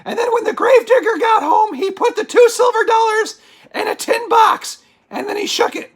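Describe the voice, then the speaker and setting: A woman playing an excitable, easily sidetracked spooky storyteller for a comic puppet show, with exclamatory, drawn-out dramatic delivery. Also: very high voice. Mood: chatty, sidetracked. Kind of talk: theatrical comic reading of a scary story.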